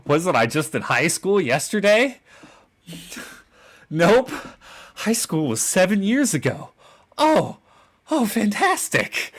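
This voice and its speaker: A man in his twenties with a relatively deep voice, hosting a casual chat podcast and teasing his co-hosts with frequent laughter. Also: very animated, slow, long pauses. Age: 20s. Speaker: podcast host.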